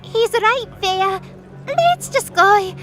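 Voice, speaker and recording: A woman's voice: very high voice, lively intonation, drawn-out words, fairly bright tone, full character voice. A woman voicing a timid, anxious little girl goddess who pleads in a fantasy audio drama. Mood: pleading. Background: faint music.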